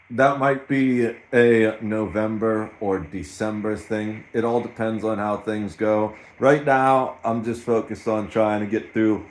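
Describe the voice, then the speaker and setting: A man in his thirties speaks slowly in a rather low voice. He rambles in a casual solo vlog, poking fun at himself as he goes. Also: rough recording. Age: 30s. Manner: rambling, self-mocking, slow and unhurried. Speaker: streamer.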